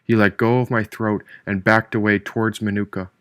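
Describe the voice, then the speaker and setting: A man's voice: fairly low pitch, little pitch movement, moderate pace, a bit bright, smooth, no room sound. Man narrating a novel, close dry sound. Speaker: audiobook narrator, author reading own book. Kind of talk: scripted first-person novel narration.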